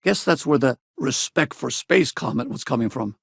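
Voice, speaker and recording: An actor playing a rugged, wry space pilot in a video game, gravelly and husky, talking in a casual, blunt way. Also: male voice, medium pitch, expressive, moderate pace, fairly bright tone, no echo, studio quality, heavily acted. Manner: casual, blunt, plain-spoken. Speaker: video game companion character. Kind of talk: scripted game dialogue and combat barks.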